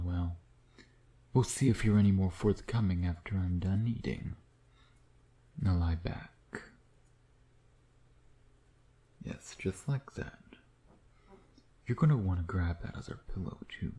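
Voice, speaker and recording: A man acting a slow, deep-voiced seductive vampire. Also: mellow. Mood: commanding, teasing. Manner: slow, teasing, murmuring with pet names. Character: suave predatory male vampire, teasing and seductive. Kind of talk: intimate ASMR roleplay.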